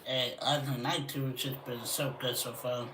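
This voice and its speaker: A man's voice: medium pitch, level tone, moderate pace, background noise. A man hosting a livestream, chatting loosely and cursing while reacting to wrestling. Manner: loose and profane, breaks into off-key singing. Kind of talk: casual reaction chat. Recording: echoey room, far from mic, loud music. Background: loud TV and music playing.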